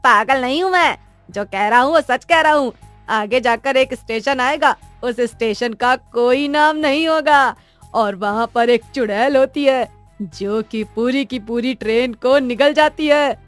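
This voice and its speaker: A woman's voice: high-pitched, unhurried, no room sound, soft background music, pitched above the performer's own voice. A woman voicing an agitated, crazed man who gives insistent, ominous warnings in a cartoon story.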